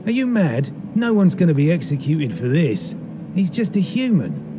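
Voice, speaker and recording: A woman's voice: low voice, very animated, moderate pace, noisy background. A woman playing a haughty vampire lady in a video game, indignant and dismissive of mere humans.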